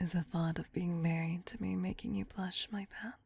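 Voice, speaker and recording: A tender vampire queen, acted by a woman, whispers in an intimate ASMR roleplay. The voice is very breathy and fairly low, staying soft and even in its melody. The manner is doting, possessive and soothing. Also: normal speed. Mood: loving, gently coaxing.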